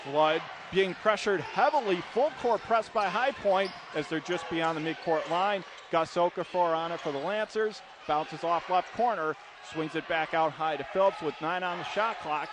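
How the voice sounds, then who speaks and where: Guy calling hoops on radio, high voice, short punchy phrases, noisy gym behind him. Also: normal speed, hardly any pauses. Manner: clipped running phrases, emphatic on big plays. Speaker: play-by-play basketball announcer. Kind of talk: live radio play-by-play commentary. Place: indoor college basketball arena. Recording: noisy courtside gym broadcast. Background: gym noise, bouncing basketball.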